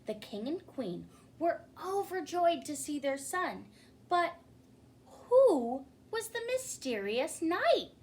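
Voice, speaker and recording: A woman storyteller giving an expressive children's read-aloud, addressing young listeners and sometimes putting questions to them. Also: high voice, slow. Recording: faint background noise.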